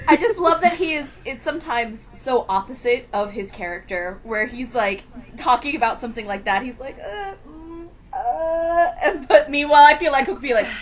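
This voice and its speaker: A woman's voice: sits high, lively intonation, moderate pace, hardly any pauses. A woman co-hosting a podcast, talking in an informal, conversational style. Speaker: podcast co-host. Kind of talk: casual chat.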